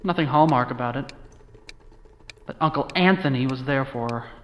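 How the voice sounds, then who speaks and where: A man's voice: medium pitch, moderate pace, natural voice. An actor playing a wry, guilt-ridden young American man recounting his family history in an audio drama. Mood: wry, explaining. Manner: wry, sardonic, confiding. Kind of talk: audio drama monologue, conversational storytelling.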